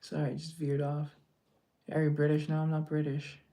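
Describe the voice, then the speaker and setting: A man's voice: a little high, completely flat delivery, brisk, warm tone. A man hosting a casual social media live, reading out viewer comments and answering them in a teasing, joking, chatty way over a phone mic. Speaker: live-stream host.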